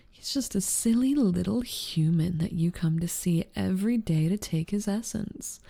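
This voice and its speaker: Slow, breathy, smug succubus rival played by a woman. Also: medium pitch, warm tone. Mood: taunting. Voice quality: breathy, half-whispered in places. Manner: drawling, teasing, taunting. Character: smug, teasing, seductive rival succubus, sly and confident. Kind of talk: ASMR audio roleplay acting.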